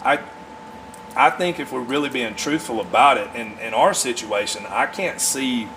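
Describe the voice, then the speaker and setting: A man in his forties talking casually as a podcast guest, in an earnest, halting way as he thinks aloud. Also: medium pitch, noisy background. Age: 40s. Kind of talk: casual conversation.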